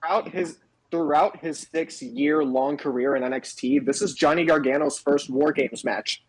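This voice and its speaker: Higher-voiced man in casual chat. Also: moderate pace, slightly rough, low-quality recording. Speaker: podcast co-host. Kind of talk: casual wrestling review chat.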